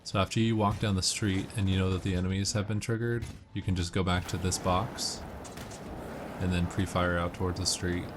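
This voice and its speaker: A man doing casual video game walkthrough commentary, explaining tips in a measured way. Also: low-pitched, flat delivery, background noise. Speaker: gamer giving walkthrough commentary.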